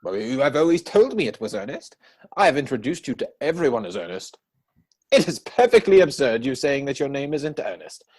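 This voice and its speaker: A man's voice: mid-range voice, very animated, fairly quick, a little mellow, husky. A man playing a witty, idle young dandy who pries playfully in arch, formally phrased banter, in a dramatic reading of a Victorian stage comedy. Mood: teasing, accusing. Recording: home recording over video call.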